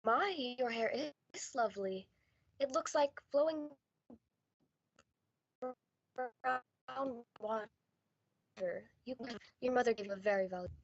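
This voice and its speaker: A woman playing a fussy, well-meaning married lady in an amateur radio play, halting and solicitous. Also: a little high, dry recording, highs cut off. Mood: admiring. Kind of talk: amateur high school radio play acting.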